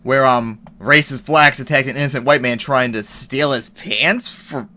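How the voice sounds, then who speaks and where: A man's voice: medium pitch, normal speed, sharp tone. A man giving heated, profane commentary on an article and news clips, reading passages aloud and reacting. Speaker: commentary vlogger.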